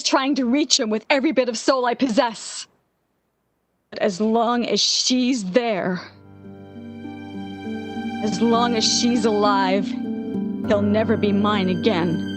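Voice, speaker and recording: A woman's voice: on the high side, slow pace, long pauses, music in the background. An actress playing a lovesick, obsessive jilted young woman in the impassioned style of 1940s radio drama. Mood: defiant, distraught. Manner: melodramatic, yearning, impassioned.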